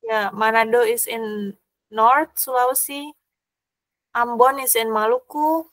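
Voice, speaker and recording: Young woman tutor, Indonesian accent, higher voice, slow and careful, dry video-call sound. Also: steady tone, no echo. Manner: gentle, hesitant English with Indonesian fillers. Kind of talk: online language lesson. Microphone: laptop video call.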